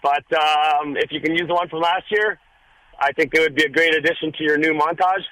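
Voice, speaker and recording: A hoarse man calls into a radio show and rambles, filling gaps with ums. His voice is fairly high and bright and pushed. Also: steady tone, brisk, rough recording. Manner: rambling with many fillers. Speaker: caller. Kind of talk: casual call-in chat.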